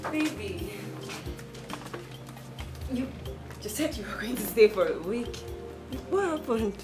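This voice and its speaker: A woman's voice: a little high, expressive, takes it slowly, reverberant, over music. An actress playing a wife who tearfully pleads and denies her husband's accusations. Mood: surprised. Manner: pleading, denying, crying.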